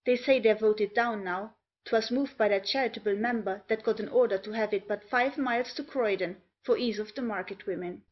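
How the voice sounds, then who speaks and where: A woman's voice: medium pitch, fairly quick, hardly any pauses, lo-fi sound, natural voice. A woman playing a mocking, sardonic gossip in a period stage comedy.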